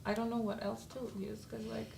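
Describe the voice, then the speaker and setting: A woman's voice: on the high side, little pitch movement, fast talker, vocal fry, darkish tone, slight background noise. A Polish-born woman with a Polish accent, chatting candidly as a guest podcast co-host and laughing often. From Poland. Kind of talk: casual chat.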